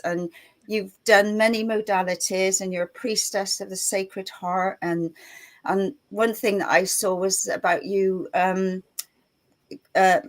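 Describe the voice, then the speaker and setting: A woman hosting an online talk show, chatty and rambling, laughing often as she introduces her guest. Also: mid-range voice. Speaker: host. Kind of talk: casual chat.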